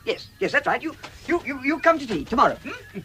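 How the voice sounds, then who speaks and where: A man playing a timid, polite hobbit gentleman in an audio drama, stammering, fussy and apologetic. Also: very high-pitched, very animated, brisk, exaggerated voice. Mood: anxiously polite. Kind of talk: audio drama acting and first-person narration.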